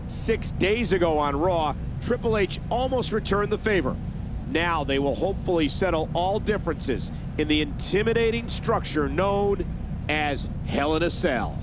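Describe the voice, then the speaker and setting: An actor playing a wrestling play-by-play announcer, delivering dramatic, emphatic scripted commentary for a video game. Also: male voice, expressive, moderate pace. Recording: noisy rumbling background.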